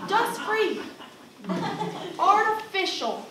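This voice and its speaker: Young woman acting brash high-voiced Southern cowgirl, echoey. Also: heavily acted. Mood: sardonic. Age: young adult. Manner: folksy, emphatic, salty. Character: brash, fed-up tough rodeo cowgirl. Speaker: student actress performing a monologue. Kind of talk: theatrical stage monologue. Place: indoor theater stage. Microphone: far camera. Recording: distant, echoey theater recording with noise.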